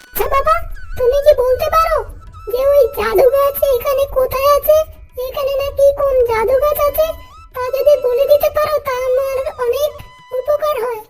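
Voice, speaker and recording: Very high-pitched earnest young girl. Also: fairly even intonation, normal speed, loud music behind the voice. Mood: polite. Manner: earnest, deferential, sweet pleading. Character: kind, polite, forgiving young girl.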